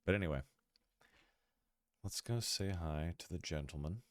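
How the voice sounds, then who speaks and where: A man's voice: low-pitched, measured pace, no room sound, clean studio sound. A man with a stuffy nose from a cold hosts a casual chat before a tabletop game, laid-back and joking. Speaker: host. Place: dark room at home.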